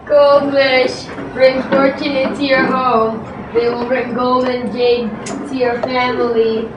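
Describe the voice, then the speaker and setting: Muffled noisy room, girl as goldfish man calling out his wares, slow and flat. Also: high-pitched. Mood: solemn, enticing. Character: male street vendor calling out goldfish for sale. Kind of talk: amateur readers theatre acting. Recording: dull, echoey, very noisy distant recording.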